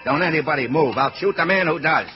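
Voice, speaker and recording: An actor playing a tough, gruff, commanding police lieutenant in an old-time radio drama. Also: male voice, on the high side, fast talker, over music, close to an everyday voice. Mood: tense, commanding. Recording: noisy, muffled old radio recording.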